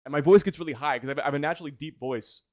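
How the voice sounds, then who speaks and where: A man's voice: a little high, very fast, dry recording, clean studio sound. A man who makes video essays chatting casually on a livestream in an enthused, rambling way, often correcting himself. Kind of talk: casual livestream chat with viewers.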